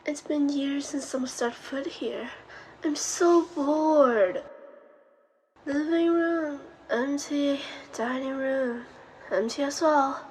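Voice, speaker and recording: A woman's voice: high-pitched, slow, a little mellow, a little hiss or hum, low-quality recording. A woman voicing a bored, sarcastic young female ghost in a scripted audio monologue, girlish and sulky.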